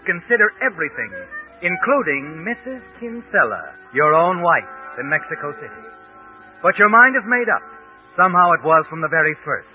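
An actor playing a knowing, insinuating narrator in an old radio suspense drama, speaking dramatically. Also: male voice, on the high side, sing-song, moderate pace. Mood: ominous. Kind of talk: 1940s radio suspense narration, second person. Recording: tinny old radio recording with music.

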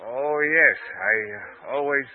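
An actor playing an uneasy impostor in an old radio drama, talking haltingly and huskily. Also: male voice, medium pitch, close to an everyday voice. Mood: nervous, hesitant. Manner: hesitant, halting. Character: uneasy male impostor posing as returning nephew. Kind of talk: 1940s radio acting. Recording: tinny old radio recording.

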